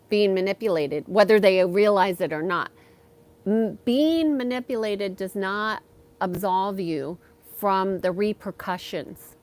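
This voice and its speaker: A woman hosting a live stream from her backyard, talking slowly and softly, pausing with ums as she reads and answers chat comments. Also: mid-range voice. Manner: slow, soft-spoken, with frequent ums.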